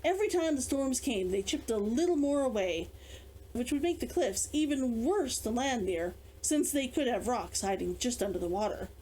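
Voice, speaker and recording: A woman narrates a fantasy novel in a fairly high voice. Her intonation is very lively, moving freely up and down as she tells the story expressively. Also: moderate pace, poor audio. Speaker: author reading own book.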